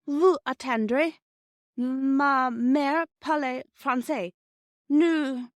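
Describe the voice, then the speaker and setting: Kind young girl for storybook adult woman performing; high, earnest, pleading. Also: extremely slow, no room sound. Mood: halting, kind. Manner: earnest, pleading, hesitant with French.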